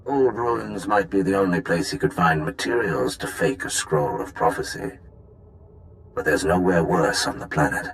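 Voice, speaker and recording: Male field agent: very low pitch, dry, informal, wry. Game dialogue, faint ambience. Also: normal speed, vocal fry, slight background noise, rough recording. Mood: wary. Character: wry, matter-of-fact male undercover agent. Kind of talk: video game dialogue acting.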